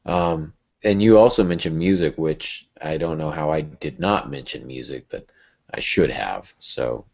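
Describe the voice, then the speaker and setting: A man's voice: extremely low pitch, a little slow, creaky, a little mellow, highs cut off. A man hosting a podcast in casual conversation with dry, joking asides. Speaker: podcast host. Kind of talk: casual chat.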